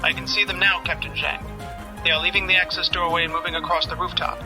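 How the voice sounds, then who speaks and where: Flat, precise male sci-fi lookout played by an actor. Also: a little high, fast talker, over music, lightly acted. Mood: detached, precise. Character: precise, emotionless male tactical lookout reporting observations. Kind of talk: science fiction audio drama acting.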